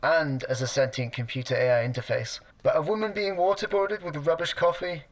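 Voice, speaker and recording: A man playing a prissy, sarcastic toaster computer AI in a sci-fi comedy radio play, with a dry, formal, deadpan delivery. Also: on the high side, brisk, close to an everyday voice, low-quality recording. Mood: disapproving.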